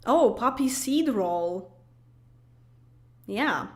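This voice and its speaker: A woman's voice: a little high, expressive, very slow. A woman in her late twenties with a Polish accent, chatting casually on a livestream and answering comments, with lots of fillers, restarts and laughs. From Poland. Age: late 20s. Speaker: streamer.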